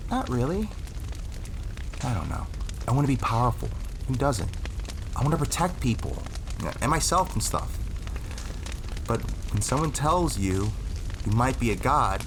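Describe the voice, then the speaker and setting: A man's voice: medium pitch, a little hiss or hum. A young male actor playing an insecure youth who rambles, swears and frets about whether he is special. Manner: anxious, rambling, swearing, animated. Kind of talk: audio drama acting.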